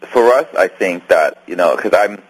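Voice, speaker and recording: Deep husky man with Hong Kong accent. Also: lively intonation, moderate pace, poor audio. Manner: rambling, many restarts and fillers. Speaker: filmmaker guest. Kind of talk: interview.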